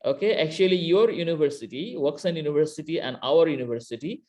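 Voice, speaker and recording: A man's voice: on the high side, fast talker, a little mellow. A man from Bangladesh with a Bangladeshi accent, a university lecturer teaching an online class over a computer mic, talking hesitantly with many fillers and restarts. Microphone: computer mic on video call.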